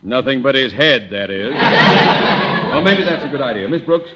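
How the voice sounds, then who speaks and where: A man's voice: mid-range voice, animated, a little slow, close to an everyday voice. Guy acting a grumpy middle aged american principal, pompous and blustery, dull old radio sound. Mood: exasperated. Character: pompous, irascible middle-aged male high school principal. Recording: muffled vintage radio recording, faint noise.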